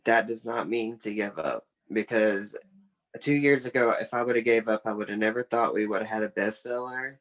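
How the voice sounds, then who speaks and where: A man's voice: on the low side, normal speed, a bit bright, lo-fi sound. A young man with a Southern US accent, talking casually and earnestly as an interview guest. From South Carolina, United States. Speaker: interview guest, author and musician.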